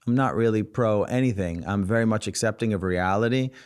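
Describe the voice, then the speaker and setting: Guy on a podcast, lowish smooth voice, rambly, says like and you know a lot. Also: brisk. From United States, Israeli family. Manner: rambling, full of "like" and "you know what I'm saying". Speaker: podcast guest, rapper. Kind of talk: casual interview conversation. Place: podcast studio.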